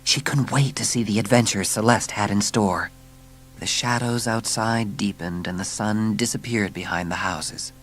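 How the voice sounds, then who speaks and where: A man's voice: lowish voice, normal speed, fairly bright tone, a little hiss or hum, poor audio. A man narrating a dramatised children's story with scripted, dramatic storytelling.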